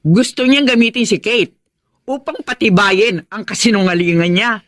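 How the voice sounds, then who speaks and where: A woman's voice: medium pitch, normal speed, no room sound, clean studio sound, close to an everyday voice. An actress playing a worried middle-aged mother in a Filipino radio drama, reproving and concerned. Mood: disapproving.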